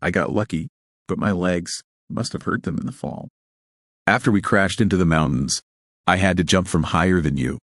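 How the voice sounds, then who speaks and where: A man's voice: low-pitched, moderate pace, fairly bright tone, no room sound, clean studio sound. A man voicing a ghostly illusion of a stranded friend in an audio story, weary and coaxing. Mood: matter-of-fact.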